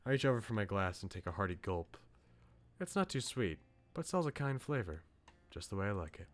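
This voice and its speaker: A man's voice: fairly low pitch, sing-song, brisk. A man streaming a visual novel, reading it aloud with casual asides and often stumbling over lines and correcting himself.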